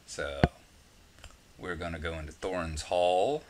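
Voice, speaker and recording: A man's voice: low voice, unhurried, background noise. A man with a Southern US accent giving casual, rambling gameplay commentary with self-mocking asides. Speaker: game walkthrough narrator.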